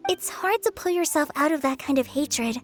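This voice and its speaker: A woman's voice: sits high, normal speed, faint music behind. Thoughtful, perceptive young woman. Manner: reflective, calm. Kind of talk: video game voice acting.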